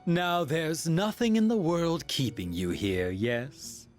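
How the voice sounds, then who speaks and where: A young cult-leader villain from a video game. He speaks slowly with expressive pitch, formal and coldly soothing, calmly menacing. Faint music plays underneath. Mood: quietly menacing.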